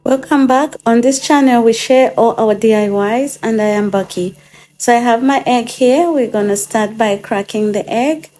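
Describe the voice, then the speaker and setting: A woman hosting her own DIY channel, chatting through a cooking demonstration in her home kitchen, her voice a little hoarse from a cold and full of casual ums as she thinks aloud. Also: on the high side, normal speed, warm tone.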